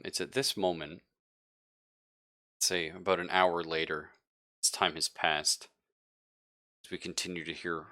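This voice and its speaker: Deep-voiced male game master, bright edge. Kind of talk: improvised tabletop game narration.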